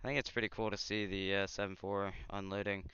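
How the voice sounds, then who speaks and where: Low-voiced man hosting a live stream. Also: fast. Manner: relaxed, chatty, thinks aloud with fillers. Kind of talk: casual live commentary. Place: outdoors near an airport. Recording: dull lo-fi live stream with clear background noise.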